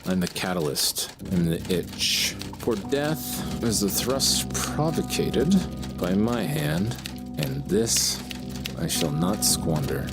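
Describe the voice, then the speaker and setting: An actor playing a delusional murderous writer in an audio drama, grandiose, deliberate and menacing. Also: male voice, deep voice, vocal fry, heavily acted. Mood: brooding, menacing. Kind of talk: dark audio drama monologue and dialogue.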